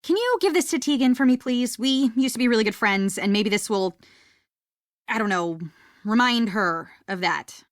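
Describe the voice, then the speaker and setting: A woman plays an earnest young woman in a video game, nervy and chatty. Her voice is a touch high. The recording is close and free of room sound. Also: expressive, normal speed, no room sound, natural voice. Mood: pleading, awkward. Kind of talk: game voice acting.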